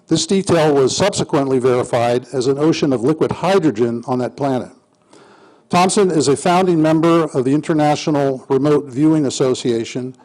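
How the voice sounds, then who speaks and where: A man hosting an event, reading a prepared introduction of the guest speaker in a formal, measured way. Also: a little high, a little mellow, poor audio.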